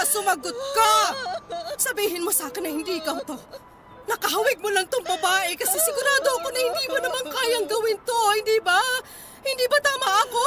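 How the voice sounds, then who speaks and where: A woman playing an outraged, ashamed mother in a Tagalog radio drama, accusing and tearfully questioning her daughter. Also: extremely high pitch. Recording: dramatic music behind the voice.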